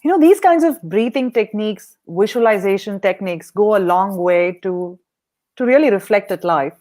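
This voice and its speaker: A woman's voice: medium pitch, expressive, moderate pace, mellow. Upbeat woman podcast host. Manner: upbeat and encouraging. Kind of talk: interview hosting.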